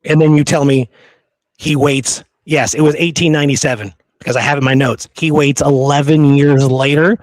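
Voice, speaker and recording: A man's voice: mid-range voice, fairly even intonation, moderate pace, no echo, rough recording. A man hosting a casual history discussion, speaking in an emphatic, argumentative and exasperated way. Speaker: podcast host.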